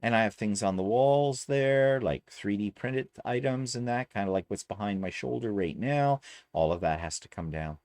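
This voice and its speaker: A man's voice: on the low side, normal speed, darkish tone, no echo. A man from Canada chatting casually in a home vlog, rambling with self-deprecating asides from his sewing room. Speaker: quilting vlogger.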